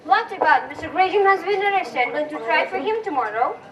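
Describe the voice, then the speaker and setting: A woman's voice: extremely high pitch, expressive, brisk, a bit bright, highs cut off, some chatter behind, slight background noise. A female student acting a nervous young daughter in an amateur stage play. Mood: nervous questioning. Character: nervous young daughter questioning her mother. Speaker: student actor in a school play. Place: school stage, recorded from far off. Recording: distant stage recording.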